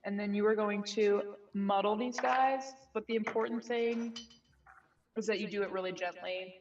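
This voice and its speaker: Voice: mid-range voice, fairly even intonation, normal speed, recorded from a distance. Bossy young bartender voice, muffled call. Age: 20s. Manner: bossy, instructive, swearing. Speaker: cocktail-making co-host. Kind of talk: casual chat while making a drink.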